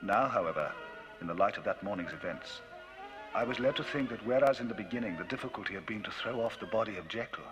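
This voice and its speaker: Deep-ish guy doing a big theatrical audiobook read, music playing under him, old vinyl record. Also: poor audio. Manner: theatrical, wide swings in pitch and loudness. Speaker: narrator. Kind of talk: dramatic audiobook reading.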